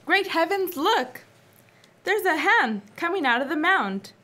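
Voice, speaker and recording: A woman's voice: extremely high pitch, expressive, measured pace, pushed and bright, heavily acted. A woman playing an earnest, awestruck adventurer in an old-time radio drama, in an exclamatory style. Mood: astonished. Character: earnest, awestruck adventurer, former scientist's assistant. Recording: clear background ambience noise.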